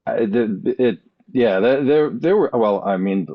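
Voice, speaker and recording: A middle-aged man chatting casually as a podcast panelist, in a halting, emphatic way with repeated words. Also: medium pitch, lively intonation, no room sound, highs cut off. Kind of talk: casual chat.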